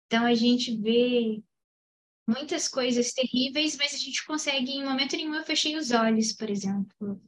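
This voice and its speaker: A woman co-hosting a film podcast, explaining her views thoughtfully in relaxed chat. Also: high-pitched, normal speed. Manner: thoughtful, explanatory, with hesitant restarts.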